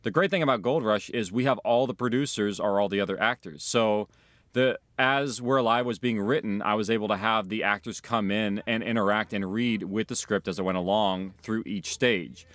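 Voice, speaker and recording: A man's voice: on the low side, normal speed, fairly bright tone, dry recording. A man speaking in a documentary interview as a writer, director and creator of audio drama. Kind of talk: documentary interview about their own work.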